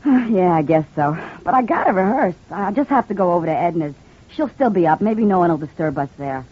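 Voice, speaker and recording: Brassy, animated American wife. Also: female voice, on the low side, a little fast, slightly rough. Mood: scheming. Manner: slangy, insistent. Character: brassy, stubborn, scheming wife. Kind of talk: 1940s-50s crime radio drama acting. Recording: muffled old radio recording with noise.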